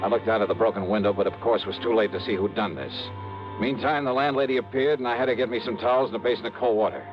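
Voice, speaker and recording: An actor playing a hardboiled male insurance investigator, narrating and talking in a brisk, wisecracking 1950s radio drama style. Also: fairly low pitch, fairly even intonation, music in the background, lightly acted. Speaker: narrator and lead. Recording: muffled old radio recording.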